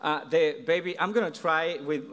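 A man with a Mexican accent preaching a sermon in an earnest, halting way, often restarting his phrases. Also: a little high, a bit bright. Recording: long reverb in a large hall.

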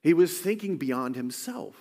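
A man's voice: fairly high pitch, lively intonation, measured pace, darkish tone, in a large hall. A man preaching a sermon in an earnest, conversational way, often restarting his phrases. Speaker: pastor.

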